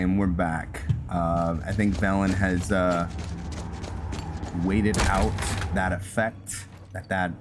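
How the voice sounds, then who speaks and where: A man doing casual video game commentary in a halting way, thinking aloud as he plays. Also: low voice, noisy background. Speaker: host of a video game roleplay series. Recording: music behind the voice.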